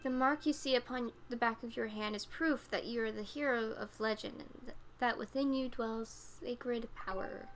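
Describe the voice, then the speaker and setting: A woman voicing an earnest, solemn young goddess-reborn heroine in a video game, measured and slightly halting. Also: a little high, steady tone, slight background noise, lightly acted. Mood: solemn blessing. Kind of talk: video game dialogue read-aloud. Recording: music behind the voice.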